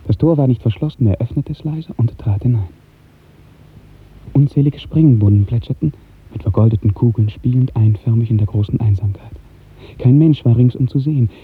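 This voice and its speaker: A man narrating a literary radio drama in 1950s style, with measured, expressive storytelling. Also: lowish voice, breathy edge. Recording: muffled, tinny 1950s radio recording.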